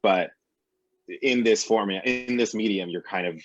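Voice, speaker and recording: A man with a husky voice, an actor explaining his craft on a discussion panel. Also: on the low side, normal speed, fairly bright tone. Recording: roomy, slightly muffled call audio.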